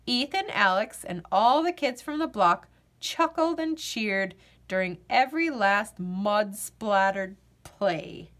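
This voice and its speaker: A woman reading a children's picture book aloud in an expressive storytelling manner. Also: medium pitch, takes it slowly.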